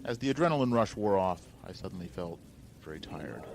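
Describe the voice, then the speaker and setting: A man's voice: sits low, expressive, brisk, darkish tone, soft background music. A man reading a scripted first-person story with a wry delivery, on a noisy amateur recording.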